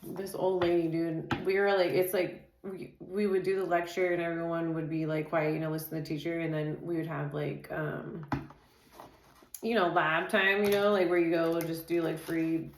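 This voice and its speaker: A woman doing a casual tarot reading, talking in a loose, rambling way full of "like" and "dude", with a naturally loud voice. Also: lowish voice, little pitch movement, normal speed, roomy sound, background noise. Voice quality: says she has a naturally loud voice. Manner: chatty, loose, filler-heavy, says "dude" and "like" constantly. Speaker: tarot reader.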